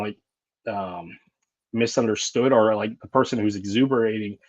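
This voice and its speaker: A man's voice: fairly low pitch, no room sound. A man hosting a podcast in casual conversation, rambling and reflective, with many fillers and restarts. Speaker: podcast host. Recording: dull, dry lo-fi sound.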